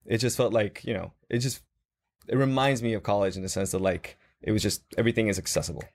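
A man's voice: fairly low pitch, quick pace, a little mellow. A young man from Guatemala talking casually in a group conversation, reflective, with many fillers and restarts.

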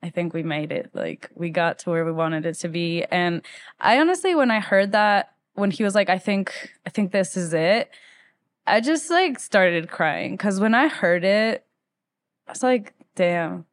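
A woman's voice: on the low side, animated, unhurried. A woman from Ecuador, around thirty, telling stories casually as a musician being interviewed, with "like" sprinkled through her speech. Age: late 20s to 30s. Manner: informal, peppers speech with "like". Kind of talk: casual conversational storytelling.

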